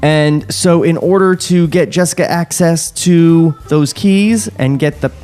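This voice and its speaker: A man hosting a podcast in casual chat, recapping a story haltingly with sarcastic, sweary asides. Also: fairly high pitch, a little mellow. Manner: foul-mouthed, sarcastic. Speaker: podcast host recapping a script. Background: music bed.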